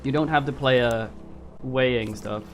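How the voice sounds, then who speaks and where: Brief: sniffly male streamer, mid-pitched, rambling gameplay chat over loud game music. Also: measured pace. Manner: thinking aloud, rambling and musing. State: a little sniffly from a cold. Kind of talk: casual live gameplay commentary. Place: at home at his computer. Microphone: desk microphone.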